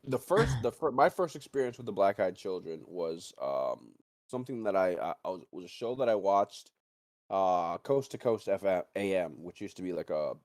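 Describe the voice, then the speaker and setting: Low-voiced man, rambling and excitable. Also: moderate pace, no room sound, studio quality. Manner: rambling, excitable, heavy swearing. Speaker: podcast co-host. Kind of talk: casual opinionated chat.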